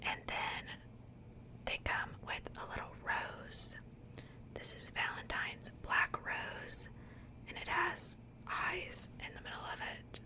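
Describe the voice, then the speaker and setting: A woman speaking in a breathy, unvoiced whisper, chatting softly and informally in the style of an ASMR unboxing. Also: takes it slowly, poor audio. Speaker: ASMR video creator.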